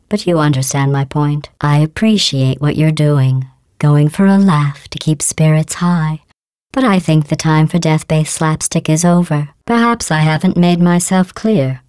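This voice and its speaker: A cold female machine intelligence purrs out mock-polite insults in a low, smooth register. Every line lands dry and close, with no room around it, as she talks down to her test subjects in flat, passive-aggressive calm. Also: no echo. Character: cold, sarcastic female AI running a test facility. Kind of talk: video game character dialogue.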